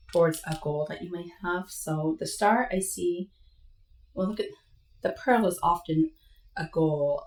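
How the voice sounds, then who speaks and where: A woman's voice: on the low side, far from the mic. A woman doing a casual tarot reading on camera, chatty and meandering, with a slight leftover cough. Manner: chatty, meandering, soft-spoken asides. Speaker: tarot reader. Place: home room with webcam. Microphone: new desk microphone. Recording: echoey room, voice a bit off-mic.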